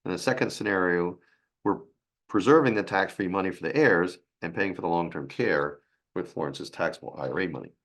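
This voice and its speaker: Deep raspy guy, hoarse from a sinus thing, stop-start webinar talk. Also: fairly bright tone. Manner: halting, with restarts and fillers. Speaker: financial advisor presenting a webinar. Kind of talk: webinar presentation.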